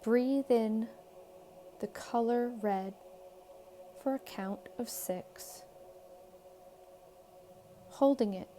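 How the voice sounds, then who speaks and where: A woman leading a guided meditation in a calm, soothing, measured way, leaving long pauses between short phrases. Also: a little high, a little hiss or hum. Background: background music.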